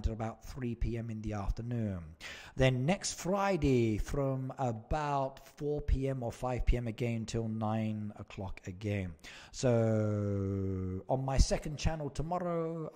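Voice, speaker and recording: A man's voice: medium pitch, a little mellow. Male game streamer: chatty, casual commentary, moves between chat and screen, repeats himself. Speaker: live stream host, gamer.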